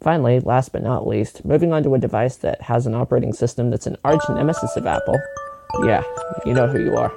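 Sarcastic gravelly man over music. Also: mid-range voice, quick pace. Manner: sarcastic, exasperated. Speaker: tech news contributor. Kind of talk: humorous scripted tech rant. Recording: music and sound effects underneath.

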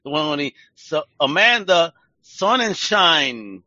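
A man's voice: on the high side, sing-song, slow pace, bright, forceful tone, dry recording. A man hosting a podcast interview in a slangy, hyped-up way and laughing often. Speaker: podcast host. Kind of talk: casual interview chat. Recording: dull, lo-fi audio.

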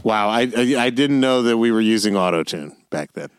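A man's voice: on the low side, animated, brisk, smooth, no room sound, studio quality. A man co-hosting a music podcast, chatting casually and repeating himself for emphasis.

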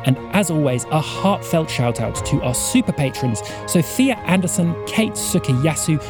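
Male announcer, scripted credits read. Lively intonation, music bed beneath. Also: on the high side, normal speed. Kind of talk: scripted narration. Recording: music under the voice.

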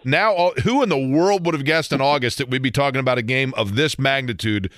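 A man's voice: mid-range voice, a little fast, a bit bright, dry recording. Dry, close-miked male sports radio host.

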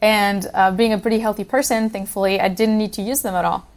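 Swiss-raised woman, relaxed conversational guest. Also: medium pitch, fast. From Switzerland. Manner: conversational, uses fillers like um and you know. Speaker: guest, policy program manager. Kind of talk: casual interview talk.

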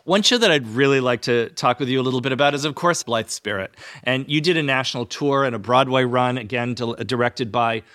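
A man hosting an interview, putting prepared questions in measured, formal phrasing. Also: mid-range voice, a bit bright. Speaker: interviewer, host.

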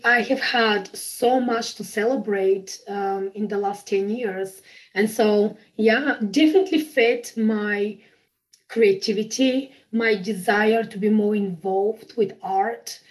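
A woman's voice: medium pitch, fairly even intonation, slow pace, rough recording. A woman in her thirties with a Bulgarian accent, a podcast guest chatting casually, bubbly and laughing often at herself. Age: 30s. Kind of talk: casual interview chat.

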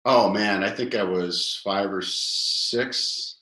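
Deep-voiced man in his fifties, roomy sound. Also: slow. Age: 50s. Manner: animated, rambling storyteller with many fillers. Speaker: guest, toy company founder. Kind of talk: casual interview storytelling. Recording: echoey room.

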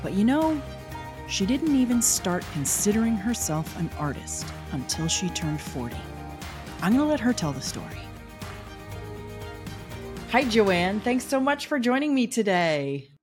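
A woman's voice: mid-range voice, sing-song, measured pace, pauses often, over music. A woman hosting an interview, introducing her guest and asking encouraging questions. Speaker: interview host.

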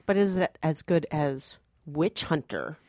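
A woman's voice: low-pitched, measured pace, darkish tone, no room sound. A woman co-hosting a film review podcast, talking casually with a blunt, emphatic conversational manner. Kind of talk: casual movie review chat.

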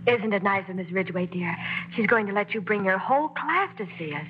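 An actress playing a gentle, reassuring vaudeville mother in a 1940s radio drama. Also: medium pitch, expressive, fairly quick, highs cut off, slight background noise, natural voice. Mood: earnest. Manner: soothing, motherly. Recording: old radio broadcast recording.

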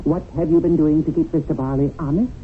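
An actor in a 1970s radio drama playing a rule-bound angelic supervisor, officious and accusing. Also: male voice, a little high, steady tone, fast, lightly acted. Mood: reproving. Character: stern, rule-bound male heavenly supervisor. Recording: tinny band-limited old radio broadcast, hissy.

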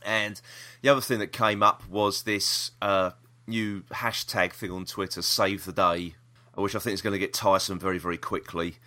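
A man's voice: low voice, fairly even intonation, normal speed, pushed and bright. A British man hosting a podcast, chatting casually about the news in a rambling, opinionated way. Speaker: podcast host.

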